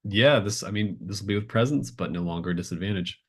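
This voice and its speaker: A man's voice: fairly low pitch, fast. A man narrating a tabletop game as game master, improvising hesitantly and searching for words.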